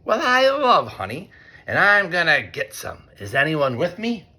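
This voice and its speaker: A man acts as a little lady mouse from a storybook, bold and sneaky. She bosses her team in hushed, higher tones with lively intonation. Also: measured pace, heavily acted. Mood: daring. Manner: hushed, commanding, whispering. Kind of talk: children's storybook read-aloud.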